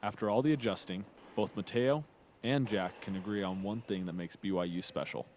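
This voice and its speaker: Deep-voiced male reporter narrating. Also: a little fast, darkish tone, a little hiss or hum. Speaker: sports reporter. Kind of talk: scripted news narration.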